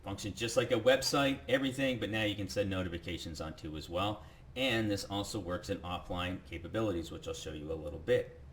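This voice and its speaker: A man presenting a software demo as a casual, enthusiastic sales pitch, talking the viewer through each step. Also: a little fast, slight background noise.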